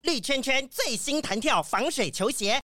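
A woman's voice: high voice, animated, normal speed, no room sound, studio quality. A woman playing an eager, boastful crow customer in a children's audio drama. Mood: proud sales pitch. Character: eager, boastful crow customer who knows products by heart. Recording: loud music under the voice.

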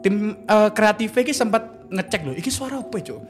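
Seorang pria muda dengan logat Jawa Timur, membawakan cerita santai sebagai co-host podcast. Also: male voice, sits high, big pitch swings, normal speed, faint music behind. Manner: chatty storytelling, mixing Indonesian and Javanese slang. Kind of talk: casual podcast banter.

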